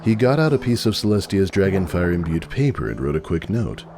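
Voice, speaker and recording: A man narrating a story as a dramatic scripted reading, measured and expressive. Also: low-pitched.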